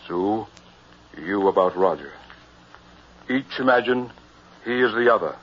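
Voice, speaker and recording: An actor playing a sinister, controlling doctor in a 1960s radio drama, speaking in a commanding, hypnotic and deliberate manner. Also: male voice, fairly low pitch, expressive, a little hiss or hum. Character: sinister, controlling male doctor running a mind-swap experiment. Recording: dull vintage 1960s radio recording.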